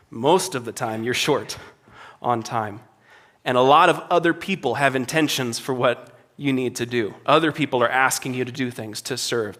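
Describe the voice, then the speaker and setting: A man with a slightly raspy voice speaks at a conference in a hall full of people. He talks to worship leaders in an earnest, conversational way, and he repeats his phrases for emphasis. His pitch sits in a plain middle range. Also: fairly bright tone. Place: conference hall before a live audience.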